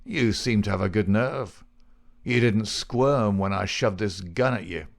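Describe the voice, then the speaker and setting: A man's voice: lowish voice, unhurried, creaky. A man voicing a huge, domineering, boisterous gang boss in an audiobook, with blustering, slangy American speech. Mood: jovial.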